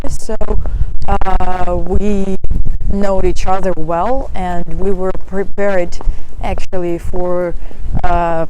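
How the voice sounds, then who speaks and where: A woman's voice: medium pitch, dark tone, slight background noise, low-quality recording. A young woman, giving dating advice in a live talk and answering questions, hesitant and full of fillers. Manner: hesitant, many fillers and restarts.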